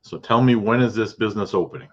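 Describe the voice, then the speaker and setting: A man with a very deep voice hosts a podcast interview and keeps the chat loose and informal. He often restarts his questions and drops in short "okay"s and "yeah"s. The sound is muffled and a bit dull. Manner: chatty, restarts his questions, quick acknowledgments. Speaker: podcast host and realtor. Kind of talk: casual interview.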